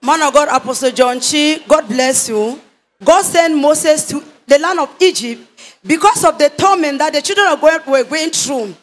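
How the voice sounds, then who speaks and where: A woman's voice: sits high, normal speed, slight background noise. An older woman giving a fervent testimony in a preaching cadence with biblical phrasing, into a handheld microphone in a large church hall.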